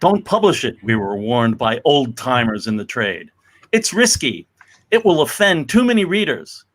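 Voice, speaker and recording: A man's voice: medium pitch, very animated, normal speed, a bit bright. A man playing a solemn, earnest 1950s comic-book editor introducing a story in dramatic scripted narration.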